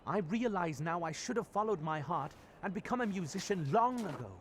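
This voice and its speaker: An actor playing a shy, stammering young musician in a cartoon. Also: male voice, high-pitched, lively intonation, a little fast, slight background noise.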